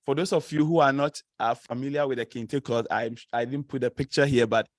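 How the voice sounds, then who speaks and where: A man's voice: medium pitch, steady tone, a little fast, dry recording, clean studio sound. A young man from Ghana with a Ghanaian accent, giving an informal student presentation to an audience, chatty, laughing often and using many fillers. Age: young adult.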